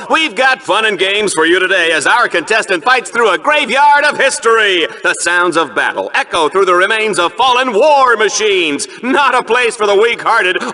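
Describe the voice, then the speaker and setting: An actor playing a smarmy, hyperactive arena sports announcer in a video game, showy and sarcastic, with bombastic commentary. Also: male voice, high-pitched, pushed and bright, exaggerated voice. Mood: showy, dramatic.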